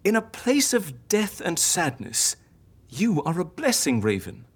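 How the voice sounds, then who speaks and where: A woman's voice: fairly low pitch, huge pitch swings, measured pace, slightly rough, heavily acted. A woman playing an eccentric female healer in an audio drama, theatrical, playful and persuasive. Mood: gleeful.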